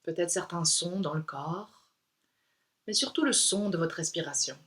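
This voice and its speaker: A woman yoga instructor leading a guided meditation in a calm, soothing, unhurried way with pauses. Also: fairly low pitch.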